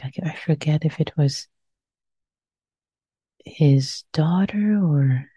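A woman with a Persian accent, a meditation teacher speaking softly and slowly with many pauses and fillers, guiding a reflection. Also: low-pitched, husky, no room sound. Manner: soft-spoken, pausing, with frequent fillers. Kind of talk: guided reflection after meditation. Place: meditation center hall. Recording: muffled lo-fi recording.

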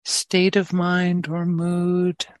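A woman teaching meditation, gently guiding listeners with long, unhurried pauses. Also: fairly low pitch, monotone, no echo, studio quality. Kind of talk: guided meditation.

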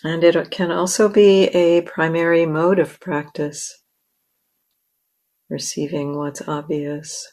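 A woman meditation teacher leading a guided meditation in short, measured phrases with long pauses. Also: low voice, flat delivery, a little mellow.

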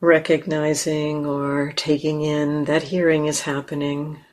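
A woman leading a guided meditation as a teacher, speaking gently and leaving long pauses between short phrases. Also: sits low, flat delivery.

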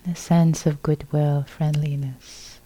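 Very dark, hushed woman guiding meditation. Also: deep voice, flat delivery, measured pace, slightly rough, low-quality recording. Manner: gentle, hushed, sparse short phrases with long silences. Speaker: meditation teacher. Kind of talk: guided meditation.

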